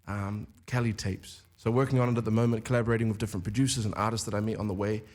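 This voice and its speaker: A man in his twenties from New Zealand with a New Zealand accent, answering interview questions about his music in a relaxed way in a studio. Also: lowish voice, racing. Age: 20s. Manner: relaxed, with frequent "uh" fillers. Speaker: musician guest. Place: radio studio. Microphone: studio microphone with headphones.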